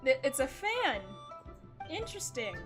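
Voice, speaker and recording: A woman's voice: sits high, big pitch swings, unhurried, music in the background. A woman hosting a casual gaming livestream, chatting playfully as she comments on the game and reads bits of dialogue aloud. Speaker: livestream host.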